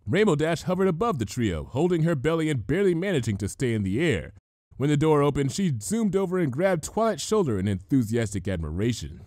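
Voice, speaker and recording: A man's voice: on the high side, sing-song, a little fast, darkish tone, no room sound, studio quality. A man reading a story aloud as a scripted storybook narration. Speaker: fan fiction reader.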